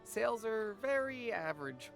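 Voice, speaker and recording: Animated, anxious humble shopkeeper. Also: female voice, fairly high pitch, normal speed, gravelly, faint music behind, full character voice. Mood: apologetic. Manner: deferential, hesitant. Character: humble, anxious female drink vendor, deferential. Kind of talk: anime game voice acting.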